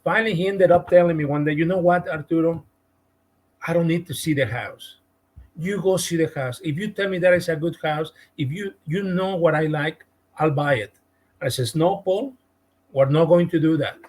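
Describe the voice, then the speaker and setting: A man with a Spanish-speaking Latin American accent, a real estate agent guest telling a story in an animated podcast interview. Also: a little high, normal speed. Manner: animated, retells conversations with emphasis.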